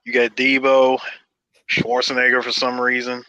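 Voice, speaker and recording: A man's voice: mid-range voice, monotone, moderate pace, sharp tone, smooth, no echo, rough recording. A man co-hosting a casual podcast over a call, cutting in insistently and playfully. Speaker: podcast co-host. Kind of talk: casual chat.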